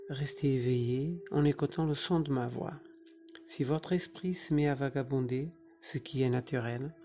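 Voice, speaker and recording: Meditation teacher for guided relaxation: mid-pitched, relaxed timbre, very unhurried, soothing phrasing. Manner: soothing, instructing in short phrases with pauses. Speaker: yoga nidra meditation teacher.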